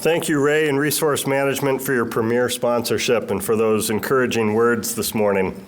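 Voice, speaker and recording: A man's voice: medium pitch, steady tone, moderate pace. A man emceeing a public event, thanking sponsors and introducing speakers in an earnest way with many filler words.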